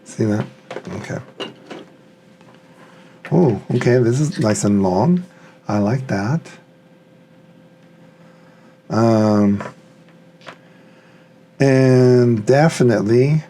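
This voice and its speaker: Slow-talking man with a gravelly voice. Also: medium pitch, dark tone, slight background noise. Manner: musing, thinking aloud, tentative. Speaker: hobbyist product reviewer. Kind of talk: casual unscripted unboxing commentary.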